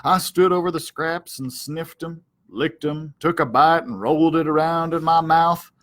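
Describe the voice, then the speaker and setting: A man reading a children's story aloud as a library storyteller, dramatic and deliberate in his delivery. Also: a little high, fairly bright tone. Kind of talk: expressive children's audiobook-style read-aloud.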